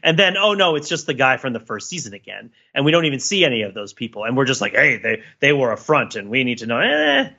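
A man's voice: mid-range voice, very animated, fast talker, gravelly, few pauses, sharp tone, breathy edge, highs cut off. A man co-hosting a podcast, riffing in casual, opinionated banter full of rhetorical questions. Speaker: podcast co-host.

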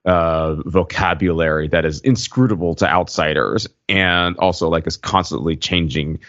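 A man co-hosting a podcast in casual conversation, halting and circling his point with lots of "like" and "you know". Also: low-pitched, a bit bright, no echo. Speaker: podcast co-host. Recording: muffled, lo-fi voice recording.

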